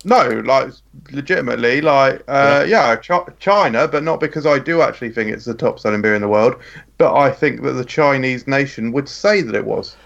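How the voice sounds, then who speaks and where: A man's voice: medium pitch, brisk, vocal fry, fairly bright tone. Blunt man on a dull lo-fi line. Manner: blunt, rambling, defensive. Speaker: quiz contestant. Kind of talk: casual banter.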